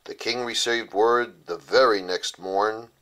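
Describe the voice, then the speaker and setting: Low-voiced man reading a bedtime story. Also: fairly even intonation, measured pace, bright, forceful tone, low-quality recording. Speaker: author reading his children's story. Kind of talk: rhyming bedtime storybook narration.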